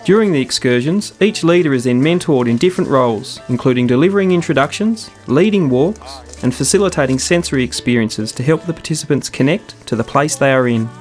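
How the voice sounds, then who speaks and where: A man, a parks program officer, explaining clearly in a documentary interview. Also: mid-range voice, expressive, fairly quick, hardly any pauses, darkish tone, faint music behind.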